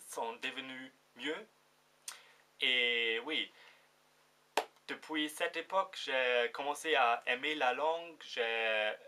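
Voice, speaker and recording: A young man from Germany in his twenties, speaking French with a German accent, talking to his viewers in a casual vlog and often pausing to search for words. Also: medium pitch, bright, forceful tone. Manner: hesitant, searching for words, many fillers. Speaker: vlogger. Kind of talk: casual direct-to-camera vlog.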